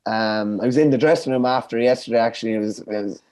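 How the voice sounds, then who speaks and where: A man with an Irish accent co-hosting a sports podcast over a video call, chatty and enthusiastic, his voice a bit croaky. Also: mid-range voice, fast, darkish tone. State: slightly croaky voice. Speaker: podcast co-host. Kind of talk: casual sports chat. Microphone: webcam video call.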